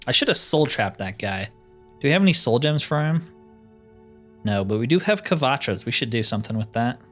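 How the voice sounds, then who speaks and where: A man's voice: mid-range voice, big pitch swings, normal speed, a bit bright. Man casually narrating gameplay over music. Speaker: gamer narrating a roleplay playthrough. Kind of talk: casual gameplay commentary, thinking aloud. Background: game music.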